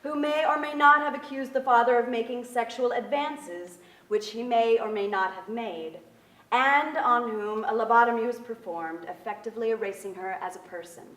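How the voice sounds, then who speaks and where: A woman's voice: on the high side, normal speed, fairly bright tone. A woman in her thirties giving a formal scripted narration from a stage. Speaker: presenter reading at a staged reading. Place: on a theater stage, indoors. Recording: echoey theater, distant pickup.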